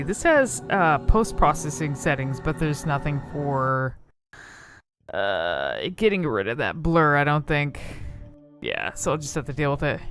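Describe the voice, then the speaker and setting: A woman's voice: low-pitched, a bit bright. A woman giving casual game commentary, rambling and thinking aloud as she explores cutscenes.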